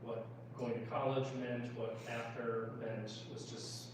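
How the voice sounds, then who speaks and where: A man's voice: mid-range voice, flat delivery, slow. An American man in his thirties speaking as a congressional candidate at a town hall, in an informal, plain-spoken storytelling way. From United States. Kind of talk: informal personal political speech. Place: indoor town hall meeting room. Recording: echoey room, distant mic, background noise.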